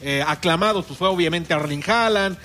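A man's voice: high voice, moderate pace, sharp tone. A man with a Mexican accent hosting a radio sports show in casual chat, chatty with fillers and restarts. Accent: Mexican Spanish. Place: radio studio.

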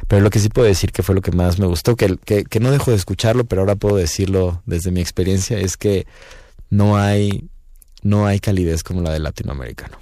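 A Mexican man speaks in a very low, mellow voice with a dry, close sound. He chats loosely and meanders, filling pauses as he thinks aloud in a radio booth interview. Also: dry recording. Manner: relaxed, rambling, frequent fillers and "¿no?". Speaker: guest musician. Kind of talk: casual interview talk.

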